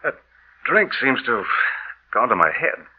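Earnest inventor for 1940s radio horror: low-middle male voice, rough-edged, very animated and dramatic. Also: normal speed, dull audio. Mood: woozy, pleading. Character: trusting, eager male inventor, now a bitter dead narrator. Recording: old 1930s-40s radio broadcast recording.

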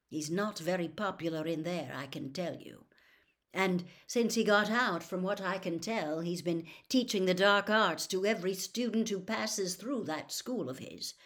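A woman voicing a troubled adult male wizard who gives earnest, hurried warnings in a storybook reading. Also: lowish voice, lightly acted. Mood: urgent.

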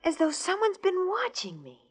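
An actress playing a feisty, sharp-tongued young female sidekick in an old-time radio detective drama. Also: very high voice, huge pitch swings, full character voice. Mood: uneasy. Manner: sassy, quick to retort.

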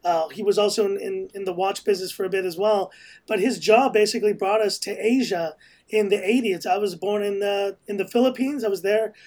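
A man's voice: high voice, fairly quick, darkish tone. A man with an American accent, a podcast guest talking casually and rambling with many restarts. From raised in Hong Kong, family from El Salvador. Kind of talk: casual conversation.

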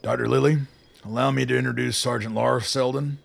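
Very gravelly army colonel. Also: male voice, medium pitch, fairly even intonation, brisk. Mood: weary, formal. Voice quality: gravelly, husky. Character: spent, curt male army colonel. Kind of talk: radio drama acting.

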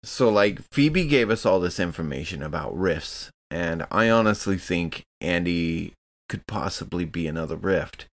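A man playing a tabletop game, discussing choices casually in an earnest, deliberate way. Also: low-pitched, lively intonation.

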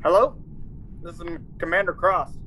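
A man's voice: high-pitched, normal speed, bright, forceful tone, slight background noise, poor audio. A man playing an anguished space commander in love, speaking with urgent, earnest passion in a radio play. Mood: startled. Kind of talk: classic radio drama acting.

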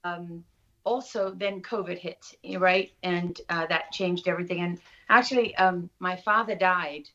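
A woman's voice: fairly low pitch, steady tone, unhurried, a bit bright. A middle-aged woman with a South African accent, talking reflectively and anecdotally as an interview guest. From Durban, South Africa. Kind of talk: conversational interview. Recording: slightly dull, lo-fi audio.

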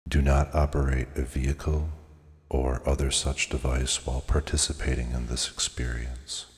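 A man narrating a scripted guided meditation in a measured, soothing, hypnotic way with deliberate pauses. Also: a bass voice, flat delivery. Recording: long cavernous reverb on the voice.

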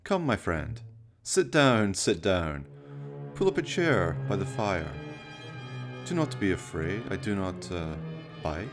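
A man playing a sinister, courtly fireside storyteller, narrating a horror radio play with slow, mock-polite, menacing theatricality. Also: low-pitched, sing-song, over loud music, heavily acted. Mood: mock-welcoming, sinister.

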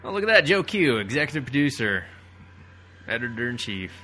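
Bright, sarcastic man riffing casually. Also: mid-range voice, very animated, fairly quick. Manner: sarcastic, crude joking. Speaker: co-host of a riffing commentary. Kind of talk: casual comic riffing over a film.

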